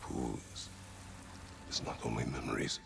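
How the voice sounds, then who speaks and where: A man playing a brooding, guilt-laden warrior in a video game, gravelly and terse, speaking in grave, clipped phrases. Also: flat delivery, measured pace, heavily acted. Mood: stern, curt. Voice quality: very deep, gravelly. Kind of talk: cinematic video game acting. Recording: music under the voice.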